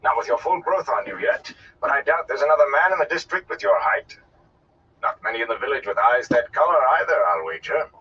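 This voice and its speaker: Man performing a haughty old storybook showman, theatrical, swooping intonation, drawn-out words, dull slightly distant recording, faint noise. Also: expressive, pushed and bright, breathy edge, heavily acted. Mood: appraising. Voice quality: deep, resonant. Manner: grandiloquent, declamatory, drawn-out delivery. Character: flamboyant, haughty old male gleeman, theatrical and scornful. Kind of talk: audiobook character voice.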